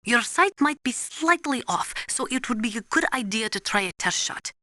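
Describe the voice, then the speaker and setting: A woman's voice: fairly high pitch, expressive, normal speed, bright, forceful tone, no room sound, clean studio sound. A woman voicing a serious, knowledgeable military analyst in a video game, briefing in a precise, formal, instructive way.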